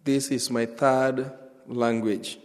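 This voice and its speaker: A man with a Kenyan accent, whose first language is Luo, giving his testimony as a guest pastor, speaking earnestly with pauses and restarts. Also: mid-range voice, fairly even intonation. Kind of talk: church testimony. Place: church sanctuary. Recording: echoey church hall.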